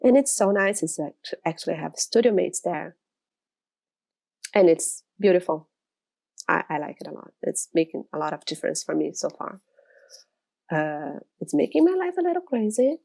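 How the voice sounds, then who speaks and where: A woman's voice: fairly low pitch, very animated, normal speed, creaky, pauses often, darkish tone. A Brazilian woman in her thirties, speaking English as a second language, chatting casually to camera from her studio, rambling with frequent restarts. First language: Portuguese. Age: 30s. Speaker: illustrator vlogger.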